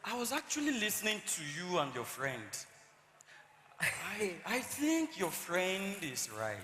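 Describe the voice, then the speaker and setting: A man's voice: high voice, wildly swooping pitch, unhurried, bright, forceful tone, heavily acted. An actor on stage playing a meek but cheeky male servant, stammering apologies and protests. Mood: flustered protesting. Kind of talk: stage play acting. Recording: echoey noisy hall stage recording.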